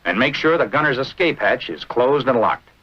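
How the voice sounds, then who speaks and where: American man, vintage military instructional narration, animated intonation, brisk clipped delivery, dull old film soundtrack. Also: mid-range voice, breathy edge.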